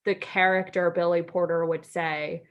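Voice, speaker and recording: A woman's voice: fairly low pitch, little pitch movement, normal speed, dull audio. An American woman co-hosting a podcast in casual, friendly chat, informal and giggly, wandering off into asides. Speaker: podcast co-host.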